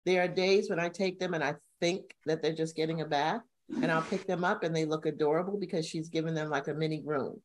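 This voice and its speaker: Animated middle-aged woman on a video call. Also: fairly quick, a little hiss or hum, low-quality recording. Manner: animated storytelling with sudden high-pitched emphasis. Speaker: co-host. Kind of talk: casual video-call chat. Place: home room, indoors. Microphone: video call webcam.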